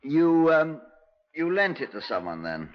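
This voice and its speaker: Casting: British detective inspector, dry and authoritative, very varied intonation, dull vintage radio crime recording. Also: male voice, fairly high pitch, big pitch swings, a little slow. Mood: dry, probing. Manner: clipped, polite, dryly authoritative. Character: dry, firm male Scotland Yard police inspector. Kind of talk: 1950s radio crime drama acting. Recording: muffled 1950s radio recording.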